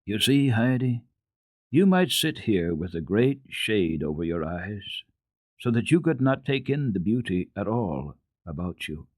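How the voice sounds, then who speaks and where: Man playing a grave, kindly doctor, husky low voice. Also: big pitch swings, a little slow, no room sound. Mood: grave, moved. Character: sad, gentle, thoughtful gentleman doctor.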